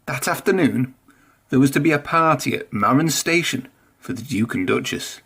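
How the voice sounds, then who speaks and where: A man narrating a children's story aloud in an expressive read-aloud style. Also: mid-range voice, normal speed, husky. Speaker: storybook reader.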